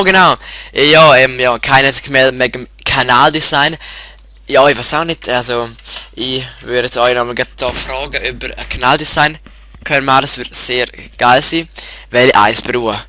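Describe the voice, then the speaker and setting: A man with a Swiss German accent, hosting his own channel and walking viewers through his pages in a halting, rambling way, stuffy with a head cold. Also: medium pitch, pushed and bright, slight background noise. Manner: halting, rambling, many restarts and fillers. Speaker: YouTube channel host. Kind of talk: casual screen-share info video.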